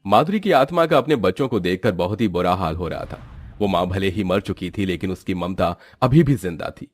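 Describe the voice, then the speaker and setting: Man, deep voice, scripted storybook narration, cartoon story. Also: lively intonation, brisk.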